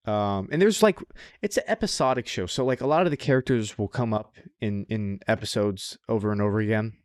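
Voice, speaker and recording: A man's voice: lowish voice, a little fast, darkish tone, no room sound, studio quality. A man co-hosting a podcast in casual chat, informal and sweary, repeating phrases for emphasis as he gives recommendations. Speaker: podcast co-host.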